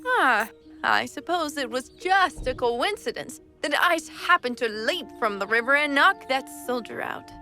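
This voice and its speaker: A woman voicing a sly, smooth-talking ship captain in a fan-made animated comic dub, speaking in a drawling, quietly menacing way. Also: sits high, a little slow, soft background music, heavily acted. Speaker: voice actor.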